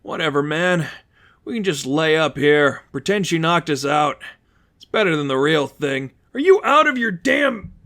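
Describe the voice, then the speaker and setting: A man voicing a big, hot-tempered male henchman with a gruff, blunt, agitated manner in a storybook reading. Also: a little high, animated, normal speed, fairly bright tone, voice pushed up. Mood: resigned, then panicked.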